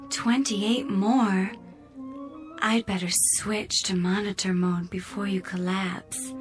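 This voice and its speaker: Radio drama role: female ship's computer, played by a woman, unhurried and precise, politely needling, faint music underneath. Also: mid-range voice, fairly even intonation, close to an everyday voice. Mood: coolly teasing. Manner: measured, precise, over-polite.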